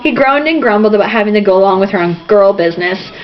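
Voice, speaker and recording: Animated woman reading aloud, dull lo-fi sound. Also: mid-range voice, fast. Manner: irreverent, theatrical. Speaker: reader. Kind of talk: informal amateur novel read-aloud with asides. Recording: dull lo-fi home recording, faint noise.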